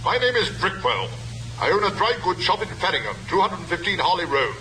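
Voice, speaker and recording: A protective father and shopkeeper, thoroughly fed up. He complains in clipped, indignant bursts with a piercing, high, swooping voice. It is vintage radio drama with faint noise. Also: big pitch swings, brisk, sharp tone, exaggerated voice. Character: fed-up, protective middle-aged father, fussy male shopkeeper. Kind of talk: old-time radio drama acting. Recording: faintly noisy old radio recording.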